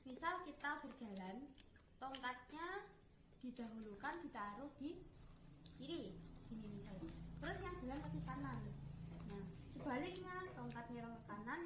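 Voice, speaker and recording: A young woman gives an informal explanatory student presentation, haltingly searching for words. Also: high voice, animated. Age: young adult. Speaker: university student giving a presentation. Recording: roomy echo, clear background noise.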